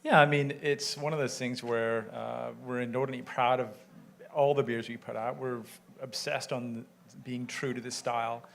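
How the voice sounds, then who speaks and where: A man's voice: mid-range voice, fairly even intonation, moderate pace, vocal fry, slight background noise. A man who co-owns a brewery, answering passionately and emphatically on a panel in front of an audience, speaking into a microphone. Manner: emphatic, passionate, quotes conversations. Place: event room with an audience of brewers.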